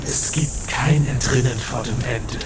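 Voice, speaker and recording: A man's voice: mid-range voice, measured pace, natural voice. A man voicing a taunting possessing demon. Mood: sinister. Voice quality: cold, taunting, sometimes whispering. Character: sinister demon possessing young man, mocking. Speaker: villain. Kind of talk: amateur audio drama acting. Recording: loud background noise.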